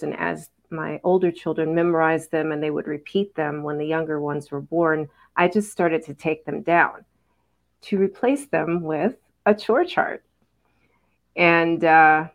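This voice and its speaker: A middle-aged mother speaks slowly and thoughtfully, her voice sitting low for a woman. She pauses often, filling the gaps with soft ums as she gathers her thoughts. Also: steady tone. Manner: thoughtful, unhurried, many fillers and pauses. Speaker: mother on a parenting talk panel. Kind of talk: reflective casual conversation.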